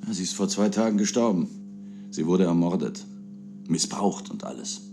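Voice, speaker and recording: A grave man , played by an actor, delivers grim news in a radio drama. His voice is very deep and mellow. He talks bluntly and matter-of-factly. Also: normal speed, faint music behind, lightly acted. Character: grave man breaking news of a brutal murder.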